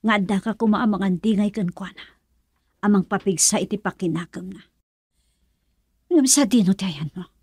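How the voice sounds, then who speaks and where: A woman's voice: medium pitch, moderate pace, long pauses, a little mellow, husky, dry recording, studio quality, close to an everyday voice. A Filipino-accented woman acting an indignant friend in a radio drama, reproachful and emphatic as she scolds.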